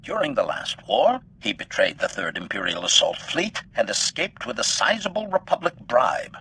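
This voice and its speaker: An actor voicing a cold, bloodthirsty but loyal assassin droid in a video game, speaking formally and deadpan through a robotic filter. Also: male voice, medium pitch, moderate pace, slight background noise, full character voice, low-quality recording. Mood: cold, efficient. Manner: formal, clipped, announces each statement with a label. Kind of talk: scripted video game acting. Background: background music.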